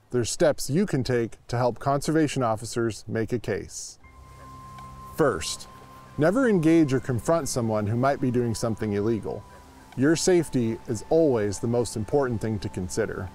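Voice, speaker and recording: A man's voice: medium pitch, animated, moderate pace, warm tone, a little hiss or hum. A man in his thirties with an American accent, giving scripted instructional narration as a conservation officer. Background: music.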